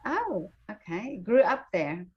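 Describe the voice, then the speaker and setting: A woman's voice: mid-range voice, big pitch swings, slow pace, highs cut off. Woman, interviewer, video call, hesitant, filler-heavy, friendly.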